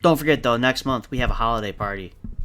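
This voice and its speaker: A man's voice: medium pitch, quick pace, a bit bright. A man talking in a casual, slangy way as co-host of a livestreamed round table, swearing now and then. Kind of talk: casual group chat on a livestream. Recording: livestream with music underneath.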